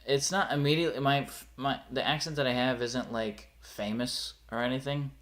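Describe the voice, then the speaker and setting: A man's voice: medium pitch, moderate pace, fairly bright tone. A young man talks loosely to his live-stream audience in a mid-range voice, with a light rural Pennsylvania accent. He rambles, corrects himself mid-sentence and lets thoughts trail off. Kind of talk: casual live-stream chat.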